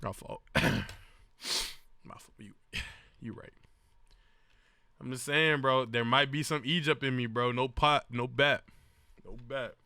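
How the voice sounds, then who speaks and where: A man's voice: medium pitch, slow pace, long pauses, pushed and bright. A young man streaming, casually joking and laughing with slangy banter while reacting to a video.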